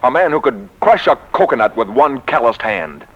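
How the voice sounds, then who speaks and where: An actor playing an excitable, bombastic American publishing executive in a 1940s radio comedy, with theatrical, grandiose emphasis. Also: male voice, medium pitch, normal speed. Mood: excited, rhapsodic. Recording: muffled 1940s radio recording.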